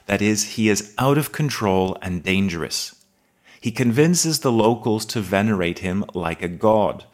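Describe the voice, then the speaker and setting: Low-voiced man narrating an English podcast. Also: lively intonation. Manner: measured, articulate, precise delivery. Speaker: podcast host teaching advanced English.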